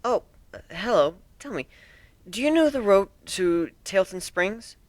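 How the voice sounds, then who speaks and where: A bookish young mare, acted by a man, polite and precise. Her voice is pitched well up and moves about brightly, as in a cartoon. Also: lively intonation, measured pace, no room sound. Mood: curious. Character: studious, inquisitive young female pony.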